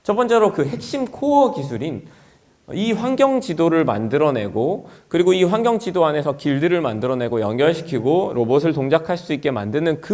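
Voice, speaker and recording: A man's voice: on the high side, darkish tone. A man presenting a product at an industry conference in an explanatory way, as a company executive would.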